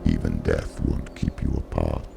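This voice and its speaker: Menacing demon narrator for a game, extremely deep growl, slow and solemn, under loud music. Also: male voice, completely flat delivery, gravelly, heavily acted. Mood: ominous. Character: menacing dark demonic spirit voice, slow and ominous. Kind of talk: dramatic game narration in verse.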